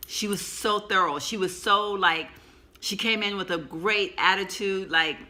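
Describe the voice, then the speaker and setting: Woman in her 50s, very bright tone, rambling chatty vlogger with a cold coming on. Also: mid-range voice, a little slow. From Texas, USA. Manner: rambling, chatty, laughs often. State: coming down with a cold, sore throat. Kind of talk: casual chatty vlog. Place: sitting at home.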